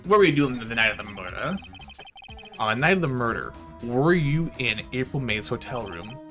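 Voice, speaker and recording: A man's voice: medium pitch, big pitch swings, normal speed, fairly bright tone, faint music behind. A man voicing an earnest young defense attorney in a visual novel, direct and questioning. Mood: probing. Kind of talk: visual novel dialogue read aloud.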